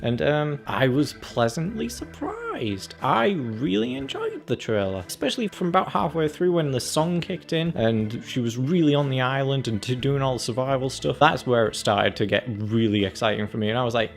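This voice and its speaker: A man's voice: mid-range voice, big pitch swings, normal speed, vocal fry, few pauses. A man giving a casual, chatty trailer review as a channel host, acting out his reactions as he goes. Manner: chatty, acts out reactions and quotes.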